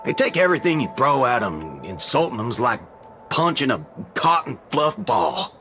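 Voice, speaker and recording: Actor as brash, drawling, lively gunslinger. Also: male voice, medium pitch, moderate pace, over music, close to an everyday voice. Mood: grumbling. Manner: colloquial, gruff. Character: brash, folksy male cowboy gunslinger, grumbling and irreverent. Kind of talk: dubbed anime game dialogue.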